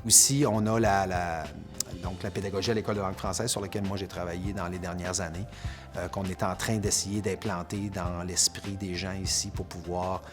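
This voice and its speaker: Deep voiced teacher guy, earnest, bit halting. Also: little pitch movement, music in the background. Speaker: educator. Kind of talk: documentary interview.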